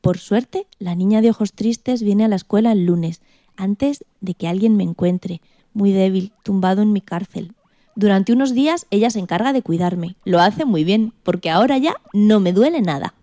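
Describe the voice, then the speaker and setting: A woman's voice: medium pitch, moderate pace, dry recording, clean studio sound, natural voice. A woman playing an indignant, timid male guinea pig who tells his story expressively in a children's radio play. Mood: forlorn. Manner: expressive, exclamatory, plaintive.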